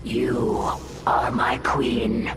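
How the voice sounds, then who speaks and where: A woman's voice: a bass voice, little pitch movement, very slow, background noise, exaggerated voice. A woman voicing a proud, fierce alien brood mother in a video game, with theatrical, declamatory delivery and creature processing. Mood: submissive, wary. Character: Zagara, proud fierce female alien brood mother, defeated yet loyal. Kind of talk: dramatic video game acting. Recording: echoey, distant, loud game music behind.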